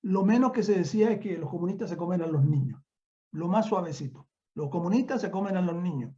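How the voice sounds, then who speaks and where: An older Chilean man gives an improvised academic talk in a high, soft-edged voice. He hammers points home by repeating words and phrases. The sound is dull and lo-fi, as on an online call. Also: lively intonation, quick pace. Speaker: university professor, guest speaker. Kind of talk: extemporaneous online academic lecture. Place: remote online conference call.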